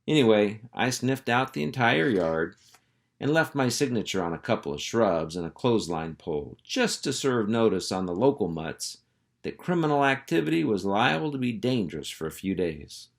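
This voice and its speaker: A man reads a storybook aloud in a fairly low voice. His pitch moves around a lot, giving the telling a folksy, expressive storyteller feel. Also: moderate pace, gravelly. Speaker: children's book reader, school superintendent. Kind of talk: animated storybook reading.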